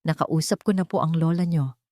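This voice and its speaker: A woman's voice: sits low, a little fast, warm tone, no echo, clean studio sound, natural voice. A woman voicing a polite, grave older woman calling from a hospital, in an audio love story.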